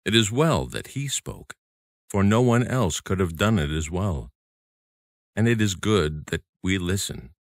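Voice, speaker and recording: A man reading a devotional audiobook as scripted narration, in a solemn, measured and deliberate manner. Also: low-pitched, animated, no echo, clean studio sound.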